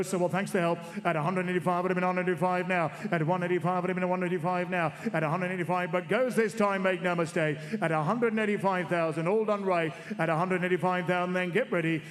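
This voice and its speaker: A man working as an auctioneer at a horse sale, calling bids in a rhythmic, repetitive chant and coaxing the room for more. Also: high voice. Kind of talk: rapid bloodstock auction calling. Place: horse sales ring. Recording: long reverb of a sale ring.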